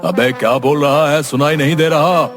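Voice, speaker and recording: A man's voice: fairly high pitch, normal speed, music in the background, natural voice. A man voicing a stingy, exasperated village merchant in a cartoon story, scolding and blustering. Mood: angry. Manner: scolding, blustering, questioning grunts. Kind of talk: Hindi cartoon story dialogue.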